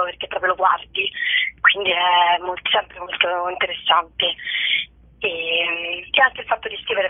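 A woman answers interview questions casually, feeling her way through each thought with a hesitant, wandering delivery. Her mid-pitched voice comes through dry and very narrow, like a phone call. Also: no echo. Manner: hesitant, meandering, thinking aloud. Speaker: actress and stand-up performer being interviewed.

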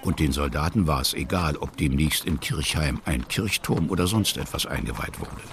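An actor as the measured narrator of a historical radio play for children, telling the story in scripted narration. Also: male voice, very deep voice, noisy background. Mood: calm, grave. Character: calm male storyteller of a historical children's tale.

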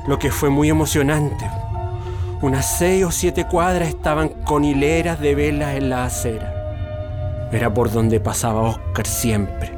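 A man's voice: mid-range voice, close to an everyday voice. An actor playing an older Chilean father grieving his murdered son, indignant and wounded, in a radio drama. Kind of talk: radio drama acting with recounted testimony. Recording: radio play with loud music bed.

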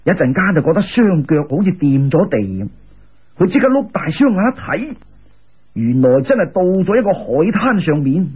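Cantonese-speaking male folk-tale narrator: raspy, lively intonation, colloquial and dramatic, on a thin radio-like recording. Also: on the high side, normal speed. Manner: animated, colloquial, emphatic. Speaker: storyteller. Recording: muffled lo-fi radio-style recording.

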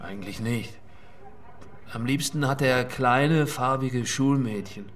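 An actor playing a young suspect under questioning in a radio crime drama, polite but terse, evasive and reluctant. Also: male voice, medium pitch, slow, close to an everyday voice. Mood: reluctant, matter-of-fact.

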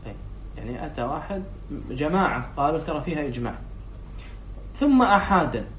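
A man teaches a class of students, reading out a text and explaining it line by line. His voice sits a little high for a man. It is picked up from across an echoey room with a dull, muffled tone and faint noise underneath. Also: lively intonation, a little fast, fairly bright tone, recorded from a distance. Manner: quizzes students, then repeats their answers.

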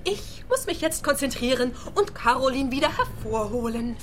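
High-voiced, earnest stage actress. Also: female voice, sing-song, normal speed, soft background music. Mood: nervous, eager. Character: earnest, theatrical actress, proud of her craft. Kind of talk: German-language radio play acting.

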